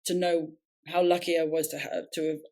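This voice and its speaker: A woman's voice: low-pitched, level tone, a little fast, a little mellow. A woman with an English accent, a nonprofit founder telling her story in an interview, reflective and earnest, often correcting herself mid-sentence.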